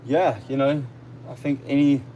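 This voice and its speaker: A man's voice: medium pitch, flat delivery, moderate pace, dark tone, lo-fi sound. Young English male streamer, London-area accent, easygoing chatty delivery, noisy ambient live recording. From near London, England. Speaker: livestream host. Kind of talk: casual livestream chat, reading out comments.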